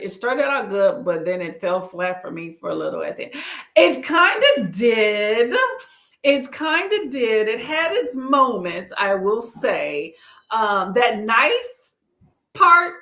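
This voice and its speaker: A woman from Texas in her thirties hosts a casual reading livestream, chatting with her viewers and laughing often. Her light Southern accent colors certain words, and her pitch rises and falls a lot. The room around her sounds echoey. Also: fairly bright tone. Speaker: booktube livestream host. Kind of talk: casual live chat.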